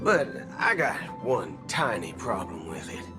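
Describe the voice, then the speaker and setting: A rugged, easygoing video game drifter drawls. His slow, folksy delivery rises and falls in lively swings. Music plays clearly behind him. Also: on the low side. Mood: folksy grumbling. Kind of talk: video game character dialogue.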